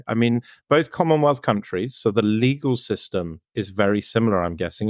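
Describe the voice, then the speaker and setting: A man from North Wales with a Welsh accent hosts a podcast, with a somewhat low voice. He asks his questions in a chatty way and wanders into side remarks. The recording is close and dry. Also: no room sound. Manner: chatty, loose asides. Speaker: podcast host. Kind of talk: conversational podcast interview.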